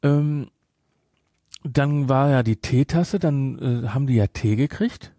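A man's voice: mid-range voice, measured pace, warm tone, no echo, studio quality. A man speaking as a podcast host, discussing a film casually and emphatically, repeating his points to drive them home.

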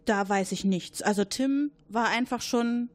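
A woman's voice: a little high, measured pace, natural voice. A woman playing a chatty, gossipy male supervisor at a military base in an improvised audio drama, rambling and hesitant as he points at a colleague. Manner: rambling, hesitant, eager to accuse.

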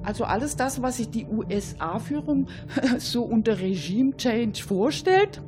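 A woman's voice: fairly high pitch, lively intonation, a little slow, over music. A woman playing a gossipy, opinionated Swabian cleaning lady in a comic radio monologue, folksy and mock-naive. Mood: indignant. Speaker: cleaning lady character in a satirical radio show.

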